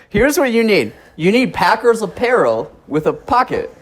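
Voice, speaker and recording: A man's voice: high-pitched, sing-song, moderate pace, a little hiss or hum. A man hosting a casual podcast chat in his cousin's garage, telling stories in a rambling way with restarts and laughs, recorded on a phone in his chest pocket. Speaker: podcast host.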